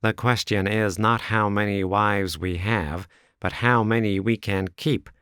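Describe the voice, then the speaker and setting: A man's voice: fairly low pitch, steady tone, unhurried, a bit bright, smooth, no room sound, clean studio sound. A man voicing two boastful young suitors bragging about their wealth and position. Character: pompous young male suitors, self-important.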